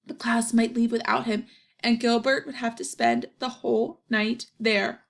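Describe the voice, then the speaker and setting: Female children's storyteller, slightly high pitch with noticeable fry, narration with friendly asides to listeners. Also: steady tone, unhurried. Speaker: storyteller reading a children's picture book aloud. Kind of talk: animated read-aloud narration with asides.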